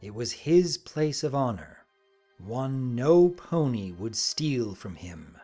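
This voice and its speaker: A man narrating a fantasy story in a deliberate, theatrical dramatic reading. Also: mid-range voice, big pitch swings, mellow, soft background music.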